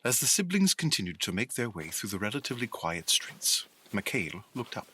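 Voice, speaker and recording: A man's voice: lowish voice, sing-song, a little fast, sharp tone, husky. A man narrating a scripted adventure story.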